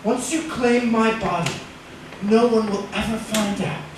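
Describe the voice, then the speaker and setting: An actor playing a middle-aged husband in an old-time radio thriller, halting and urgent, shaken yet greedy and insistent. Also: male voice, high voice, heavy background noise. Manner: halting, repeats phrases, urgent. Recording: echoey distant radio-drama recording with music.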